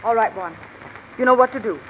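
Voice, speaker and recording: A woman's voice: a little high, fast, close to an everyday voice. An actress playing a controlling, scheming woman accomplice in a 1950s radio drama. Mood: cool, commanding. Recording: muffled noisy vintage radio, rain behind.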